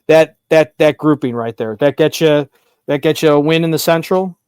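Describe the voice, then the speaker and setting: A man with a somewhat high voice co-hosts a sports show. He chats casually, prodding and questioning, and the recording is very dry with no room sound. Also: moderate pace, a little mellow, clear, resonant tone, no echo. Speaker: sports podcast co-host. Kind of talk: casual conversational sports banter.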